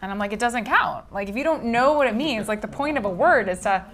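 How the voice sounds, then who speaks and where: A woman interviewing an author at a bookstore event, asking long, animated questions with many fillers. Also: fairly high pitch, fast. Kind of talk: casual conversation at a book event.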